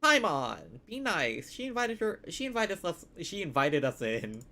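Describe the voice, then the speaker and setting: Low-voiced, animated woman streaming a game. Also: a little slow. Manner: reactive, playful. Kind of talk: casual live game commentary, reading choices aloud.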